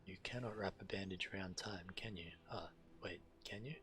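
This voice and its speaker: A man's voice: low voice, moderate pace, fairly bright tone, a little hiss or hum. A man streaming a game, reading quest text aloud and adding casual commentary.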